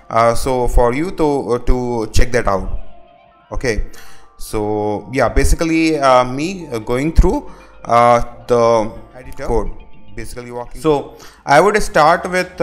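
A man from India whose first language is Hindi, talking through a coding tutorial over his screen and filling his sentences with pauses and restarts. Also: medium pitch. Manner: halting, many "uh" fillers and restarts. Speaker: web developer.

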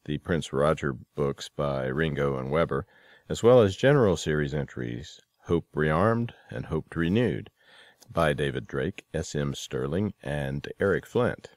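Very low-voiced man hosting a podcast. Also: no room sound. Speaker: podcast host. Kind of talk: scripted promotional reading and podcast introductions.

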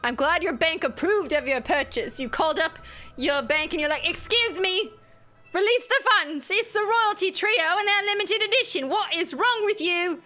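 Bright, high-voiced young woman livestreaming. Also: moderate pace, faint music behind. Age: young adult. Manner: playful, teasing, laughs often. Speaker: livestream host. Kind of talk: casual livestream chat. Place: home streaming room, indoors. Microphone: studio mic on boom arm.

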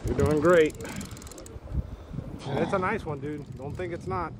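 A man on a boat at sea, cheering on anglers with excited exclamations. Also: fairly high pitch, measured pace, background noise. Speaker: fishing show host. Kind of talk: outdoor fishing banter and show narration.

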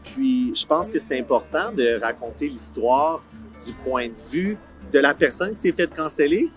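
A man's voice: on the high side, big pitch swings, unhurried. A man in his forties with a Franco-Ontarian accent, talking casually in an outdoor interview and mixing in English words. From Ottawa, Canada. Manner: colloquial, sprinkles in English words. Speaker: series creator being interviewed. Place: outdoor park film set. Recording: soft music bed under the voice.

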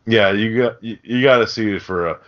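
A man's voice: on the low side, fairly quick. A man hosting a podcast, chatting casually about a film in a rambling way with plenty of swearing. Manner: rambling, profane. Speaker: podcast host. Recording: dull, lo-fi audio.

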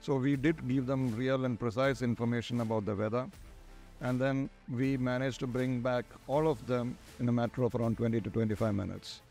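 A middle-aged man with an Indian accent answers as an expert guest. His voice is medium in pitch, his replies sound prepared, and music plays behind him. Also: steady tone, brisk, a little mellow. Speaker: guest, air traffic controller in charge. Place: large glass-walled airport tower room. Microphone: lapel. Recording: music under the voice. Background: background music.

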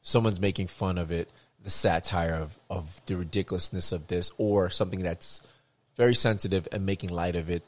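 A man co-hosting a podcast in a casual, slangy, bantering chat. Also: low-pitched. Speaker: podcast co-host.

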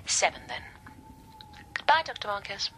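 An actress playing a brisk, self-assured woman doctor, heard over a telephone in a radio drama. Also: mid-range voice, very animated, a bit bright. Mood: forward, assured. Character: brisk, forward female institute doctor on telephone. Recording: telephone call with faint noise.

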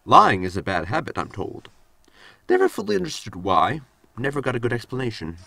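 A man's voice: mid-range voice, huge pitch swings, fairly quick, creaky, sharp tone, heavily acted. An actor playing a blunt, cheeky male android in a sci-fi comedy audio drama, matter-of-fact and polite with a sting.

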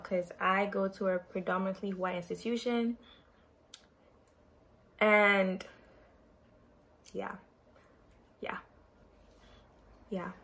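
A woman's voice: medium pitch, pushed and bright. A young woman from Ghana chats to the camera in her bedroom. She talks in an earnest, chatty way and restarts her sentences loosely as she goes. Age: young adult. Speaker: vlogger. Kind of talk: casual introductory vlog. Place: bedroom, indoors. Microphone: camera in front at close range.

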